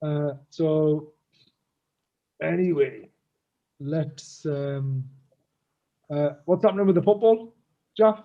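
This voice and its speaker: A man co-hosting a casual business chat, his voice a little croaky, speaking hesitantly with many fillers and pauses. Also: a little high, phone-quality sound. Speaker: co-host.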